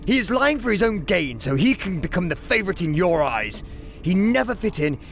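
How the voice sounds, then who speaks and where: A panicky, scheming young wolf played by a man blusters and stammers in a very high voice. The pitch leaps about whinily, with faint music behind, in a sci-fi radio drama. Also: sing-song, moderate pace, creaky, heavily acted. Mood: indignant panic. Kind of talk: sci-fi audio drama acting.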